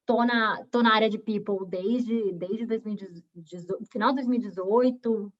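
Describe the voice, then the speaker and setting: A woman's voice: mid-range voice, moderate pace. Brief: woman, 20s, bahian accent, talkative guest, muffled video-call sound. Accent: Bahian Brazilian Portuguese. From Bahia, Brazil. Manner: chatty, many fillers and restarts. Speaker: guest, recruiter. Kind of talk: webinar interview. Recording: dull lo-fi video call audio.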